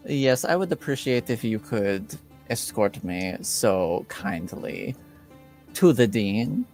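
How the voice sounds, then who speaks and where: A man's voice: on the low side, very animated, slow pace, a little mellow, faint music behind, full character voice. A man playing a haughty, insistent petitioner with stiffly polite, formal speech in a tabletop roleplaying game.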